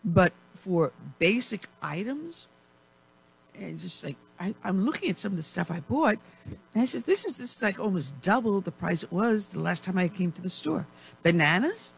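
A woman hosting a talk radio show, chatting in a rambling way with frequent laughs while her voice is going hoarse. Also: mid-range voice, sing-song, moderate pace, rough recording. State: losing her voice. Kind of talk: casual talk radio chat.

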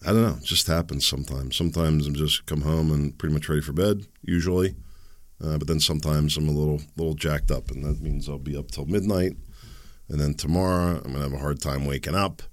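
A man's voice: very deep voice, fairly even intonation, brisk, dry recording, studio quality. A man in his fifties hosting a solo podcast, rambling with sarcastic and self-deprecating asides. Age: 50s. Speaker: podcast host. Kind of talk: casual solo podcast monologue.

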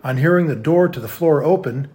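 A man reading a story's narration in an even, steady way. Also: on the high side, brisk.

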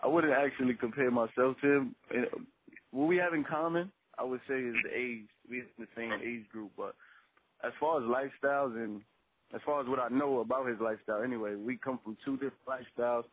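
A man's voice: medium pitch, fairly even intonation, brisk, narrow, tinny audio, slight background noise. A twenty-year-old man, a rapper being interviewed, chatting casually in slangy speech and repeating phrases for emphasis.

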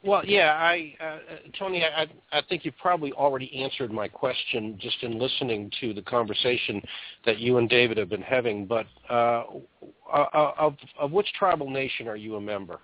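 A man's voice: mid-range voice, brisk, no room sound. A man co-hosting a radio talk show, asking questions hesitantly with stammers and restarts. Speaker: radio co-host. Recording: tinny lo-fi radio sound.

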